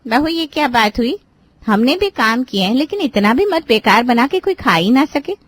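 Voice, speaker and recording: A woman's voice: high voice, very animated, moderate pace, no echo, pitched above the performer's own voice. A woman voicing a bossy middle-aged mother-in-law who lectures and reproaches in a cartoon story. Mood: scolding. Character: older scolding mother-in-law, bossy female head of household. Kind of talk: Hindi cartoon story dialogue.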